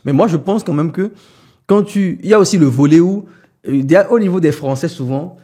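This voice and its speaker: A man hosting a business podcast, talking in a relaxed, slangy way. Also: a little high, brisk, breathy edge. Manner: relaxed, slangy, frequent fillers. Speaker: podcast host, business content creator. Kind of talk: casual podcast conversation.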